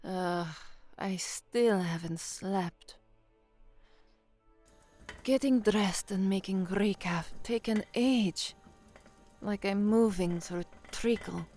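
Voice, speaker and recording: A woman's voice: mid-range voice, slow pace, long pauses, warm tone, soft background music, lightly acted. An actress playing an anxious young working-class woman and trainee newsreader in an audio drama. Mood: weary, uneasy. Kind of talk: audio drama acting with first-person narration.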